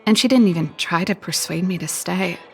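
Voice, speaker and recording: Creaky-voiced wistful woman inventor, music behind. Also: lowish voice, fairly quick. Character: grown woman inventor and guitarist, wistful, casual, guarded. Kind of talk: video game voice acting. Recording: loud music over the voice.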